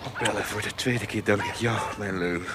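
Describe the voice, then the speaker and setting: An actor playing an effusive rogue in a radio drama, with a sighing, exclamatory way of talking. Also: male voice, lowish voice, fairly even intonation, moderate pace, background noise, lightly acted. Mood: effusive, grateful. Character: effusive rogue, grateful male companion of adventuress. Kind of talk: theatrical radio drama acting.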